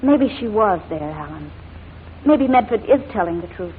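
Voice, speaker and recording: A woman playing a gentle, caring wife in 1940s radio drama, speaking earnestly and affectionately. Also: medium pitch, expressive, moderate pace, close to an everyday voice. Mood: earnest, pleading. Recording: tinny, noisy 1940s radio recording.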